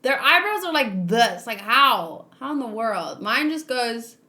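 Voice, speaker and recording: A woman hosting a read-along in a casual, expressive and giggly reaction style. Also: on the high side, a little slow. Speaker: host of a manga read-along.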